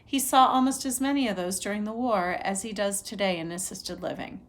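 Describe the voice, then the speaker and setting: A woman's voice: medium pitch, a little fast. A woman reads a children's novel aloud in an ordinary mid-range voice. She narrates expressively, like a teacher with a class book, and gives the dialogue some life.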